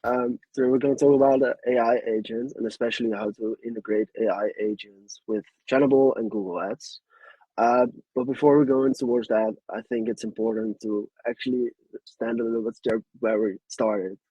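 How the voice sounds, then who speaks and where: A man's voice: mid-range voice, fairly even intonation, brisk, mellow, breathy edge, no echo, muffled recording. A man whose native language is Dutch, giving a webinar presentation in a casual, hesitant way with many fillers. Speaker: guest presenter.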